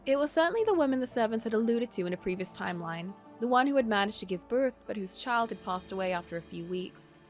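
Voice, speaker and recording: A woman reading a visual novel aloud as a streamer, in expressive narration with casual asides. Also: medium pitch, fast talker, few pauses, faint music behind.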